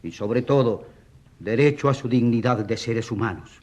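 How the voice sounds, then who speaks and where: An actor playing an eloquent defence lawyer in a courtroom radio drama, rhetorical and emphatic, with sardonic courtesy. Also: male voice, mid-range voice, normal speed, a little mellow, natural voice. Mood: impassioned. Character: eloquent, ironic defence lawyer for a rural priest.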